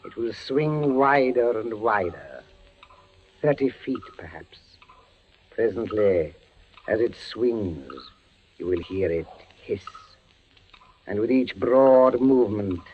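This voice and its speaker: A calmly cruel inquisitor friar in a 1940s radio play. He is deliberate and formal, with a lowish voice and drawn-out pace full of menace. The recording is very muffled, with faint noise. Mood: gloating menace. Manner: slow, deliberate, ominous, formal.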